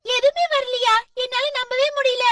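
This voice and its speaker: A woman voicing a sweet, eager young schoolgirl in a children's cartoon, expressive and childlike. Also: very high voice, moderate pace, dry recording, studio quality. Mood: disappointed, worried. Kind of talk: Tamil-dubbed children's cartoon acting. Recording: distant sound under loud background music.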